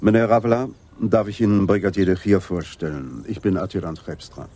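An actor playing a skeptical, wry senior police detective in a German radio play. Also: male voice, deep voice, fairly quick. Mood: composed, courteous.

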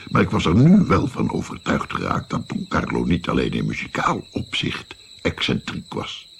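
A man's voice: a bass voice, huge pitch swings, normal speed, gravelly, a little hiss or hum, exaggerated voice. An actor playing an earnest, brooding man who recounts his tale in literary language in a Dutch radio drama. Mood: reflective.

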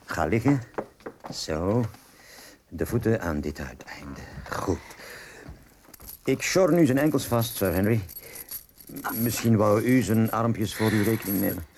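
An actor playing a polite, obliging inventor of a contraption in a radio drama, deferential, with a Flemish accent. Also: male voice, lowish voice, expressive, unhurried, long pauses, darkish tone, a little hiss or hum. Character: deferential male maker of a stretching machine.

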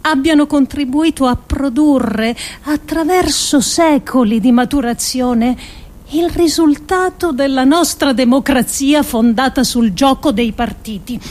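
A woman reading a scripted dramatic monologue for radio in a deliberate, rhetorical manner, with emphatic pauses. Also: high voice, a little mellow. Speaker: actress reading an adapted essay on radio.

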